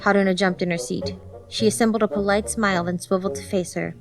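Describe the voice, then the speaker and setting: A woman reads a story as a scripted audiobook narrator. Her voice has a middling pitch, and music plays behind her. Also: steady tone, fast.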